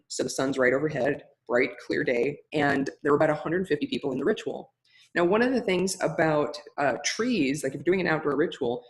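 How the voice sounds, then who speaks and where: A woman with a big, loud voice teaching in a webinar, talking through ideas in a chatty way with frequent restarts. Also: low-pitched, poor audio. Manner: chatty, many restarts and fillers. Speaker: ritual facilitation teacher. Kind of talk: webinar teaching, answering a question.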